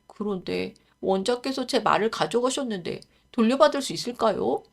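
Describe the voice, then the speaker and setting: A woman's voice: a little high, animated, normal speed, vocal fry, pitched above the performer's own voice. A woman voicing a humble young stable hand who speaks politely in a storybook reading. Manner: polite, deferential.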